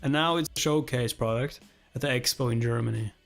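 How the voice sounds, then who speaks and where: A man voicing a probing, pensive corporate schemer in a webtoon read-along, musing in measured phrases. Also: medium pitch, vocal fry, a bit bright, faint music behind. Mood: musing, suspicious.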